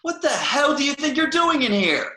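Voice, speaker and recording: A man playing a gruff, awkward paleontologist in a script table read, blunt and halting. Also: very high-pitched, big pitch swings, bright, forceful tone, heavily acted. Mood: indignant. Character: gruff, indignant male paleontologist, awkward with strangers. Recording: roomy, echoing home recording.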